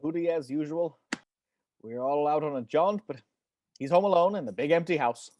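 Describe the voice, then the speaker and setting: A man's voice: on the high side, moderate pace, a little mellow, no room sound, studio quality, close to an everyday voice. A man playing a chummy, eccentric family patriarch in a table read of a comedy script. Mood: genial. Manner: chummy, schmoozing.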